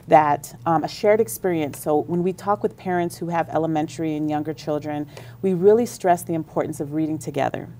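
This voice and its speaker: A woman's voice: low voice, mellow, slight background noise. A woman on a radio interview, explaining at length with enthusiasm about library services. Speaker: school district library leader.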